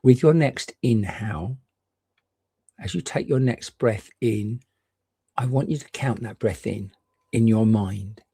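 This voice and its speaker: A man gently and reassuringly guiding a meditation, leaving unhurried pauses between short phrases. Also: lowish voice, slightly rough, no room sound. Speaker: meditation guide. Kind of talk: guided meditation.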